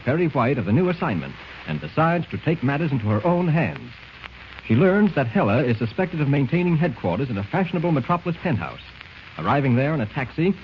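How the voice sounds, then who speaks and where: An old radio recording, muffled with faint noise. A male announcer narrates the serial dramatically in a slightly high, widely swinging voice, leaning hard on his emphatic words. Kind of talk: scripted 1940s radio narration.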